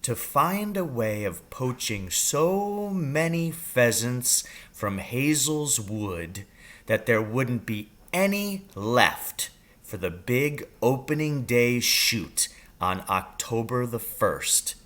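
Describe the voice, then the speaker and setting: Man acting out a storybook dad, conspiratorial poacher, bright forceful voice, unhurried pace, theatrical and intense. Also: mid-range voice, very animated. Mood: secretive, plotting. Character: scheming, excitable father, conspiratorial poacher with a grudge. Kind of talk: children's audiobook character reading.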